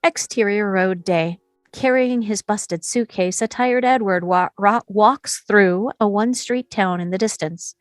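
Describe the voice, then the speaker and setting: A woman's voice: medium pitch, a little slow, no echo. A woman narrating scene headings and action descriptions as scripted narration in a table read.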